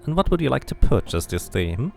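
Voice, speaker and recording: A courteous female market trader from a fantasy game, played by a man, smiling and formal in her sales patter. The pitch is lifted a little and moves in a lively way. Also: quick pace, creaky, soft background music. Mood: friendly.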